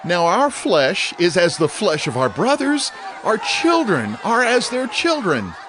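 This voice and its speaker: Desperate indebted villager: high, wildly varied, anguished pleading, Bible audio drama. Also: male voice, huge pitch swings, measured pace, a bit bright, heavily acted. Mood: anguished protest.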